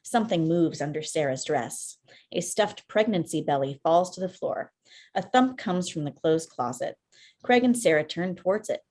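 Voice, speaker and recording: A woman's voice: low-pitched, expressive, moderate pace, a little mellow. A woman reading scripted screenplay stage directions as narrator on a video call. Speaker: filmmaker, stage-direction reader.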